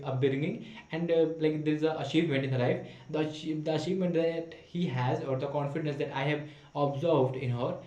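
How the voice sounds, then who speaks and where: A man's voice: a little high, mellow. A young man from India, speaking English as an in an unscripted monologue to camera, full of fillers and restarts. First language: not English. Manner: hesitant, many fillers and restarts. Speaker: vlogger practicing spoken English. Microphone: camera mic. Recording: echoey room.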